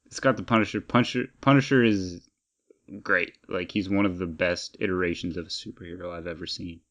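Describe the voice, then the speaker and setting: A man's voice: low-pitched, fairly even intonation, fairly quick, fairly bright tone. A man co-hosting a casual pop-culture podcast, talking in an opinionated, emphatic and rambling way. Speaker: podcast co-host.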